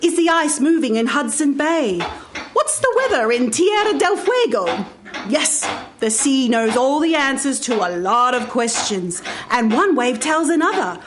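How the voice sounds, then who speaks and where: An adventurous pirate mother played by a woman in a radio play. She speaks slowly and high, grand and dreamy about the sea, over clear background noise. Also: sing-song. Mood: wistful, knowing. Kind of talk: live radio theatre. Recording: noisy live radio studio recording.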